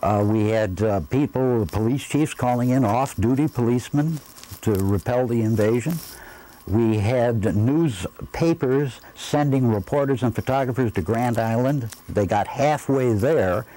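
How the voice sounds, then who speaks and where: An American man in his sixties reminiscing in an interview, reflective and halting as he searches for his words. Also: mid-range voice, mellow, low-quality recording. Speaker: former radio engineer interviewed. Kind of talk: interview recollection. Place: indoor room, seated.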